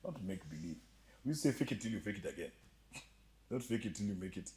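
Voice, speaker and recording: A man with a slight Ugandan accent telling stories as a podcast guest, animated and joking, often laughing. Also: lowish voice, fast talker, slight background noise. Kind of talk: storytelling interview chat.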